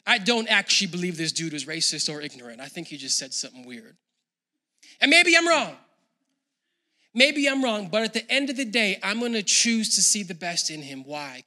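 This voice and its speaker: Bright, high-voiced male pastor preaching. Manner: conversational, building to loud emphatic preaching. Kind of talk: sermon.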